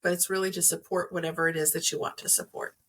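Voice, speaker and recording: A woman's voice: on the low side, little pitch movement, quick pace, a bit bright, slight background noise. A woman speaking as a podcast guest, explaining things conversationally and rephrasing as she thinks aloud. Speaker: podcast guest, energy healer. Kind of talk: conversational explaining of crystals and chakras.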